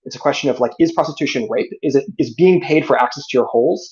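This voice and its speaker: The recording is a little dull and lo-fi. A man with an average-pitched voice speaks as a debate guest, setting out his rebuttal in an articulate, methodical way. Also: fast talker, a little mellow. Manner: articulate, methodical, frames points as questions.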